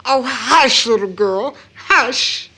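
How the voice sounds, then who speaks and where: An actress playing a gentle, sorrowful middle-aged mother in an audio drama, measured and soothing with her daughter. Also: a little high, slightly rough, full character voice. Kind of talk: stage-style audio drama acting.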